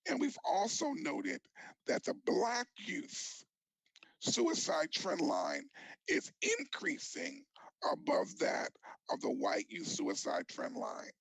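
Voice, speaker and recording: A man presenting as an expert to a virtual commission meeting, earnest and halting, with repeated words for emphasis and a raspy, creaky voice. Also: very high-pitched, huge pitch swings, a bit bright, dry recording, rough recording.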